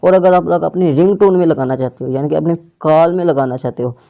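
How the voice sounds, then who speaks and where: Man, tutorial host, very mellow dark tone, fairly high pitch, casual step-by-step explaining, repetitive phrasing, muffled lo-fi sound. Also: fast, gravelly. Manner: repeats and rephrases instructions. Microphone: clip-on or plugged-in microphone. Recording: dull, muffled lo-fi microphone.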